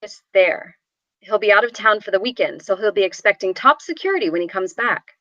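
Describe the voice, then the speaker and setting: A woman's voice: mid-range voice, lively intonation, brisk, bright, forceful tone, no room sound. A woman at a script table read playing a formal, demanding client in her late thirties. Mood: demanding, cool. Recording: dry, dull lo-fi microphone.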